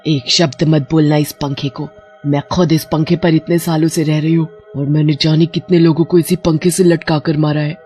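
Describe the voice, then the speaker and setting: A woman's voice: low voice, flat delivery, normal speed, dark tone, soft background music, lightly acted. Woman playing a vengeful, menacing witch ghost. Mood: threatening. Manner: menacing, theatrical. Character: vengeful murderous witch ghost haunting a ceiling fan. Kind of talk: animated horror story acting.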